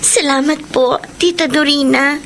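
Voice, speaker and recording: A very high, crying voice against loud background noise: a young orphaned girl in a Tagalog radio melodrama. She is tearful, meek and polite. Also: steady tone, unhurried. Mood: tearful, grateful.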